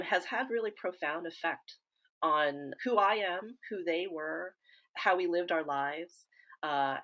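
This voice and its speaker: A woman from the US East Coast, a guest in an interview, speaking in a reflective, measured way with frequent fillers and restarts. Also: fairly low pitch, fairly bright tone. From East Coast of the United States. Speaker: interview guest, grief support founder.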